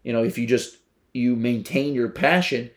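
A man's voice: mid-range voice, moderate pace. A man hosting a podcast, talking casually with lots of fillers and tag questions. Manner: loose, filler-heavy, tag questions like "right?". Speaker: podcast host. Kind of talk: casual conversation.

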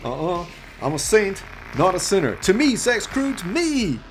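A man's voice: high-pitched, very animated, normal speed, pitched above the performer's own voice, poor audio. A man voicing a smug, sleazy gang leader with cocky, mocking taunts in a comic radio play. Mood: grandiose.

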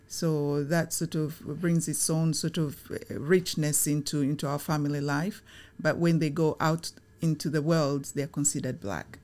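A middle-aged woman from Tanzania, answering interview questions in a reflective, unhurried way with frequent fillers and restarts. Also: low voice, fairly even intonation, darkish tone. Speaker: guest, chief operating officer.

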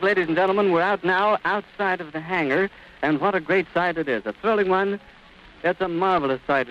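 A man's voice: high-pitched, fairly bright tone, dull audio, a little hiss or hum. An American man giving florid, descriptive live commentary as a 1930s radio reporter, on an old recording.

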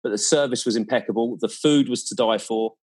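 A man's voice: medium pitch, fairly quick, darkish tone, breathy edge. A man in a casual, conversational chat, recounting his experiences as a guest in an enthusiastic, descriptive and emphatic way.

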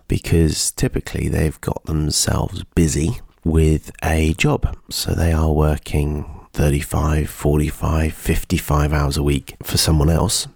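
Deep, gravelly male podcast host, congested with a cold, dry close sound. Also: dry recording. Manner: steady, explanatory, advisory. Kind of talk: solo podcast talk.

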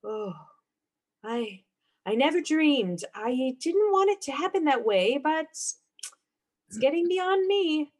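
A woman's voice: sits high, very animated, slow pace, pauses often, darkish tone, heavily acted. An actress playing an obsessive, unhinged young woman in a melodramatic radio play, with a theatrical, breathless, intense manner. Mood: haunted, dread. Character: obsessive, unhinged young woman convinced of her dark power. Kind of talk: melodramatic old-time radio play acting.

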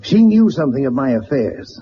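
An actor playing a proud, wealthy middle-aged businessman, speaking bluntly and haltingly, as if confessing, in a radio drama. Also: mid-range voice, sing-song, lightly acted. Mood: grave, troubled. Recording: dull, noisy old radio drama recording.

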